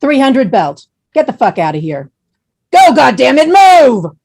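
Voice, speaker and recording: A woman's voice: high voice, big pitch swings, a little slow, a little mellow, breathy edge, heavily acted. Actress doing a mean warehouse trainer lady, blunt drill sergeant type, swears a lot. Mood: barking, impatient. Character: brutal, foul-mouthed woman trainer drilling warehouse recruits. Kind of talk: screenplay table read.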